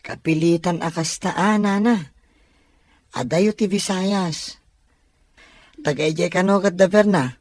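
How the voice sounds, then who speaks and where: An actor playing a grown child who gently reassures a worried mother in an Ilocano radio drama. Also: mid-range voice, a little slow, long pauses, dry recording, natural voice. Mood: reluctant.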